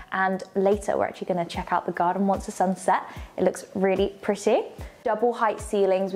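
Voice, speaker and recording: A woman's voice: medium pitch, darkish tone. A young woman with an enthusiastic, polished delivery, presenting a house tour. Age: young adult. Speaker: presenter of a luxury home tour show. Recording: light background music. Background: faint music.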